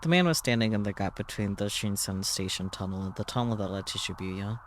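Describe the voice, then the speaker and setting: A man's voice: on the low side, brisk, a little hiss or hum. A man reading a visual novel aloud on a stream as dramatic story narration.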